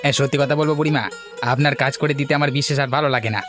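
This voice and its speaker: A man's voice: a little high, steady tone, rapid-fire, music in the background, lightly acted. A man voicing a grumbling but dutiful young village helper in a cartoon, with an exasperated, complaining delivery. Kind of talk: cartoon voice acting.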